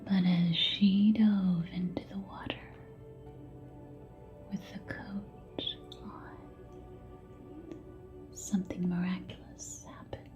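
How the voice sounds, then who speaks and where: A woman telling a story in a soft ASMR whisper, close to the microphone from ear to ear, leaving long pauses between short phrases. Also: lowish voice, little pitch movement, lo-fi sound, music in the background. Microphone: close binaural microphone, ear to ear.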